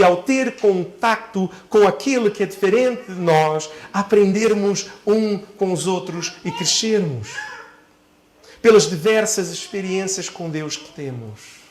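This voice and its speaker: A male pastor delivers a sermon in a notably high voice. He builds his points in measured, deliberate phrases. Key words come back in emphatic repetition as he addresses his congregation. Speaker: pastor preaching.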